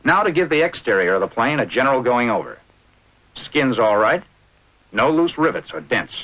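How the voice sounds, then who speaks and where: An American man narrating a 1940s military training film in a brisk, instructive manner with clipped short phrases. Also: mid-range voice, sing-song. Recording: dull, band-limited vintage film soundtrack.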